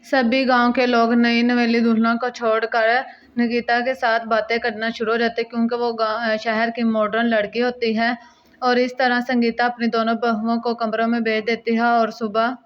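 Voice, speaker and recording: A woman's voice: a little high, completely flat delivery, moderate pace. A woman narrating a scripted Hindi cartoon story as storyteller, in a dull lo-fi recording.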